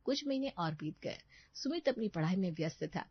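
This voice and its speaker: A woman's voice: on the low side, lively intonation, normal speed, breathy edge, dull audio. A woman reading a scripted moral story as its narrator.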